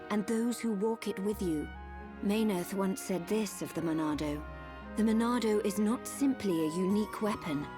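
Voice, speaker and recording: A woman's voice: mid-range voice, lightly acted. Woman acting a solemn, wise guide over music. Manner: measured, formal. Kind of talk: dramatic video game acting.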